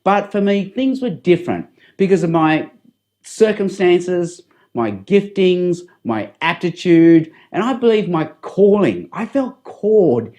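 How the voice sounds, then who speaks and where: A middle-aged Australian man with a fairly high voice speaks straight to the viewer. He gives a personal testimony in an earnest, deliberate manner, like a practised public speaker. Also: expressive, mellow. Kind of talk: testimony addressed to camera.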